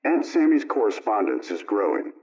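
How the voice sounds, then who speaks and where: A man announcing in a formal, measured way, reading a scripted introduction in 1920s radio style. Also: lowish voice, huge pitch swings, darkish tone. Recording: dull, lo-fi old radio recording.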